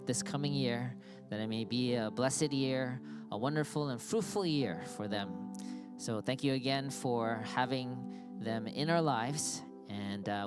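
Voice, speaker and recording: A man, the senior pastor of a church, leading a congregational prayer in a reverent, unhurried way, pausing often with "uh". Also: mid-range voice. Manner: reverent, unhurried, with frequent "uh" pauses. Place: church sanctuary. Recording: instrumental music playing underneath.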